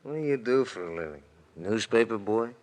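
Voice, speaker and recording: Man acting tough private eye henchman, lowish voice, blunt slang, menacing, golden age radio drama. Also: animated, fairly quick, a little mellow, close to an everyday voice. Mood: bland menace. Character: tough, sneering male private detective henchman, heavyset. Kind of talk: 1940s-50s radio detective drama acting.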